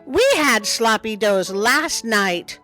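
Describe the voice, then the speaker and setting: A woman voicing a whiny, scheming little boy wolf in a storybook, with drawn-out complaints. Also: on the high side, expressive, bright, forceful tone, soft background music, full character voice.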